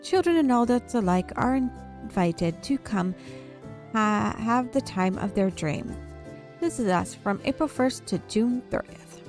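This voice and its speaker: Female game streamer, expressive story reader, casual commentary, music in the background. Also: on the high side, unhurried. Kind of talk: reading visual novel text aloud with casual asides.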